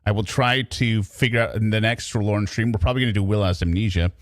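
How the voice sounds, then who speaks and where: A man's voice: lowish voice, steady tone, fast talker, no echo, clean studio sound. A man hosting a casual livestream, reacting and commenting in a chatty, teasing, mock-exasperated way. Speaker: livestream host.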